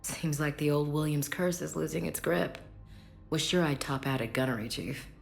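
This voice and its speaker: A woman's voice: low voice, moderate pace, vocal fry, faint music behind. A woman playing a guarded, frank soldier with a wry streak in a video game.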